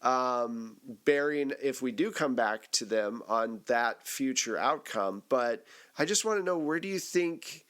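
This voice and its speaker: A man's voice: medium pitch, expressive, measured pace, a bit bright. A man hosting a casual round-table chat, putting questions to the group in conversational talk. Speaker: game master and host.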